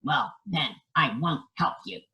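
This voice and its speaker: A woman voicing a young frog prince who speaks in a low, polite, bargaining way. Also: expressive, measured pace, vocal fry, sharp tone, heavily acted.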